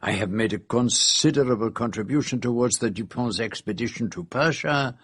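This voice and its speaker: An actor playing a shrewd, courteous foreign detective in a radio drama, with a Belgian French accent and a precise, measured, self-assured way of explaining. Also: male voice, medium pitch, fairly bright tone, slightly rough, no echo, studio quality. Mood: reflective, kindly.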